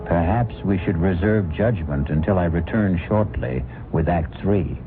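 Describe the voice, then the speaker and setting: A man hosting a radio mystery drama, with wry, teasing, measured narration between acts. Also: sits low, expressive. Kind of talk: theatrical radio host narration. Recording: narrow lo-fi old radio broadcast with music.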